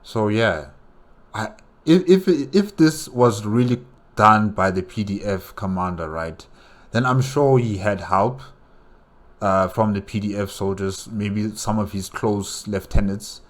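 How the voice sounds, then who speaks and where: Low-voiced man giving reaction commentary. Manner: halting, repeats himself while reasoning aloud. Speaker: reaction video host.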